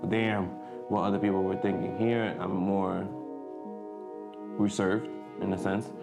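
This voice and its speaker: Low, flat, gravelly-voiced man. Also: unhurried, over loud music. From Dominican Republic. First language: Spanish. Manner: hesitant, many fillers and restarts. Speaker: vlogger. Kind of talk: casual vlog talk to camera.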